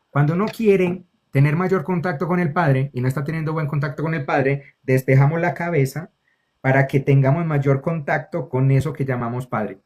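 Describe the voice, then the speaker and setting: A man with a Colombian accent, a spiritual guide explaining ideas in conversation and often checking in with "ok?" and calling the listener lovely. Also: mid-range voice. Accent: Colombian Spanish. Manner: affectionate, keeps checking in with "ok?". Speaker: spiritual guide, interview guest.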